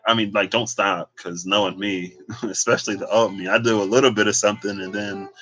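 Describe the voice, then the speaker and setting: A man's voice: fairly low pitch, sharp tone, rough recording. A man in his late twenties, talking candidly and reflectively while reading cards, laughing now and then. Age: 20s. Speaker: tarot reader. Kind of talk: casual spoken card reading.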